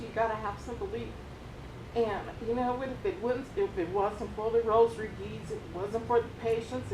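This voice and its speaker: A woman tells of her family on a post-screening panel, earnest and exclamatory. Her voice sits a touch high. The hall is echoey and a low rumble and steady noise run underneath. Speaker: relative of a veteran speaking on a panel. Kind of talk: emotional personal sharing. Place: large room at a film screening.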